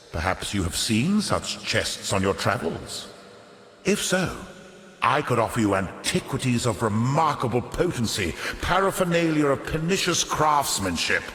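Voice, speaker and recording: Pompous, echoing old merchant aristocrat. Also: male voice, fairly low pitch, fairly bright tone. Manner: florid, theatrical, unctuous salesman's patter. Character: pompous, sly fallen aristocrat turned coin-greedy merchant, older man. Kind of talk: theatrical video game character acting. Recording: echoey hall reverb on voice.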